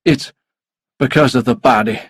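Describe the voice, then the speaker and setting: Man acting a loud, desperate drunken man. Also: mid-range voice, normal speed, breathy edge, no echo, clean studio sound. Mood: agitated, bitter. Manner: loud, forceful, taunting outbursts. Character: desperate drunken suicidal lawyer, fat man, bitter and loud. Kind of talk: audiobook character voice.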